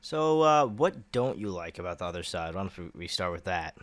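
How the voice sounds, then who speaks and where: A man's voice: low-pitched, very animated, moderate pace, no echo. A young man co-hosting a podcast, wry and joking as he steers the talk. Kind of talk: casual chat.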